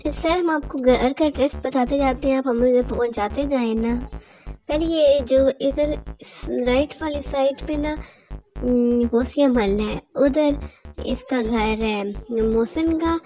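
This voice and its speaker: Woman as bossy cartoon child, reassuring, high pitch, background music. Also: unhurried, dark tone, low-quality recording. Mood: explaining.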